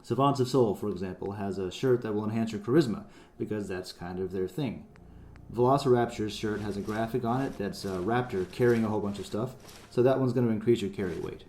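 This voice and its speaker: A man's voice: on the low side, a little fast, mellow. A man presenting his own project in casual, conversational promotional narration. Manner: informal, conversational.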